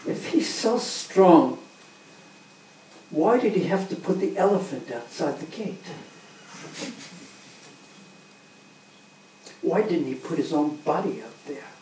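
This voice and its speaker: A man's voice: a little high, a little mellow. A man voicing a young divine cowherd hero who speaks with teasing, mock-humble confidence. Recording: roomy, distant, faint background hum.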